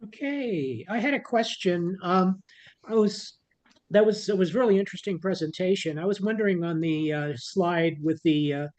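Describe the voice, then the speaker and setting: A man, an audience member on a video call, asking a question in a halting way with many fillers and restarts. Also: high voice, a little mellow.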